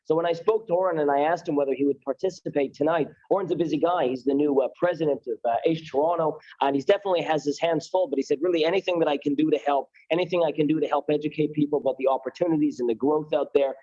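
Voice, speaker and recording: A man hosting a community event, briskly reading a prepared introduction of the next speaker. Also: on the high side, warm tone, no room sound. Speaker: event organizer and moderator. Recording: muffled, dull event stream.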